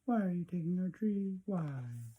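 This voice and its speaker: A man playing a tiny storybook girl of about two, pitched way up. The voice is innocent and plaintive, full of childlike, puzzled questioning. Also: animated, unhurried, breathy edge, phone-quality sound, heavily acted. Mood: puzzled, pleading. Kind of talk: storybook character voice.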